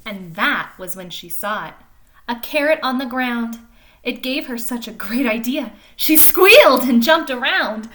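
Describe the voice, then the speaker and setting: A woman reading a picture book aloud to young children in an animated way, pausing to explain and ask questions like a teacher. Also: a little high, slight background noise. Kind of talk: expressive storybook read-aloud with chatty explanations to children.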